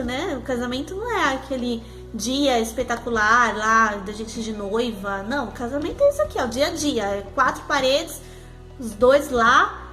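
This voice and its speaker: High-voiced Brazilian woman giving family advice. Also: fairly bright tone. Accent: Brazilian Portuguese. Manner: earnest, explanatory, tags sentences with "né?". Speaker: co-host, mother speaking about family life.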